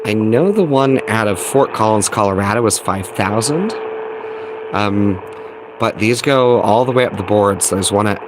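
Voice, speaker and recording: An American man giving a casual, unscripted demonstration as a hobbyist host, halting and thinking aloud while he talks into a phone. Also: fairly low pitch, noisy background. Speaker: hobbyist radio video host. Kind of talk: casual rambling hands-on demonstration.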